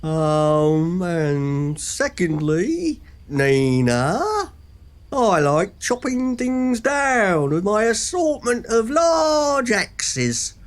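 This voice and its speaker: An actor playing a politely earnest former warlord being interviewed in a comic radio pantomime. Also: male voice, high-pitched, very animated, exaggerated voice. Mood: earnest menace. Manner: deliberate, halting, emphatic.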